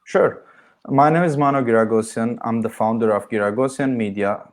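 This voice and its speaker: A young man from Syria talks as a podcast guest, mid-pitched and earnest. He answers at length, leaning on filler words as he explains himself. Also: normal speed. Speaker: guest, marketing agency founder. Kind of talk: interview answers.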